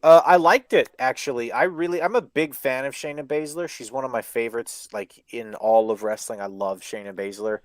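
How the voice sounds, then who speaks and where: A man's voice: medium pitch, fairly quick, few pauses, no room sound. A man co-hosting a live podcast, talking emphatically and at length, with casual swearing and lots of fillers. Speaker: podcast co-host.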